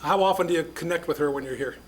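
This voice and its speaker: A man with a somewhat high voice chairs a council meeting and chats with guests in a jokey, upbeat way. Faint background noise of the chamber sits under his voice. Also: very fast. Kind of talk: friendly public interview at a council meeting.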